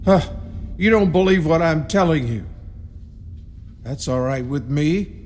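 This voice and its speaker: Man acting a high-pitched, ominous rustic caretaker. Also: animated, measured pace, a little mellow. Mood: ominous warning. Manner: folksy rural grammar, foreboding, cryptic. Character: ominous rustic caretaker, grimly warning intruders. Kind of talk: radio horror drama acting. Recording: long reverb with music behind.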